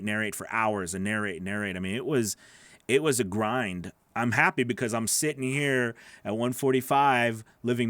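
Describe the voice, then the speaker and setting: A man's voice: fairly low pitch, fairly bright tone, no room sound. Nasal-voiced man on a dry studio mic. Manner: chatty, repeats words, reads viewer questions aloud. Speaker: voice actor hosting a live Q&A. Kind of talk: casual livestream Q&A. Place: small home studio, indoors. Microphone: large studio microphone on boom arm.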